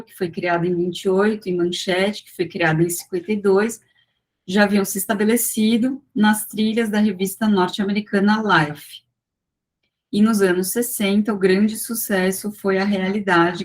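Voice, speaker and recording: A woman giving an academic talk over a video call, measured and full of small fillers and restarts as she walks through slides. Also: medium pitch. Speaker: guest lecturer.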